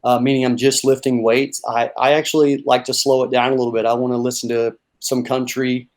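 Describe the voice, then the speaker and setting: Young man, monotone delivery, easygoing coach with a casual, halting style. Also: mid-range voice. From North Carolina. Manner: relaxed, with many fillers and repeated words. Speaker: strength and conditioning coach. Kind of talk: casual interview answers.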